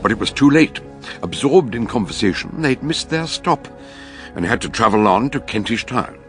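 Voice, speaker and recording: An actor narrating a suspenseful radio mystery in a measured storyteller's manner, with a British accent. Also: male voice, mid-range voice, lively intonation, music in the background, natural voice. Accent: British English. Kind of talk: scripted radio drama narration.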